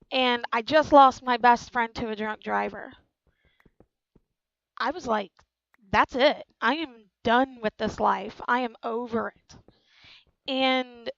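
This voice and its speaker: A woman's voice: a little high, a bit bright, no echo, dull audio. Earnest young woman sharing her story. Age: 20s. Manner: earnest, frank, repeats lines for emphasis. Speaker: channel host. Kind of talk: personal testimony to camera.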